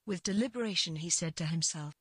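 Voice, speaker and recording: A woman reads literary fiction as its narrator. Her voice is a little low and husky, with a dry, close sound. The delivery is measured and scripted. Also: no room sound.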